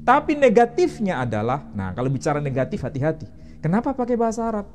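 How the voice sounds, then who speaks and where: A male Islamic teacher explains religious matters in a sit-down interview, his voice swinging widely in pitch. He keeps posing questions and answering them himself, walking the listener through each point. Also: very animated, fast, darkish tone, a little hiss or hum. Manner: quizzes the interviewer with rhetorical questions.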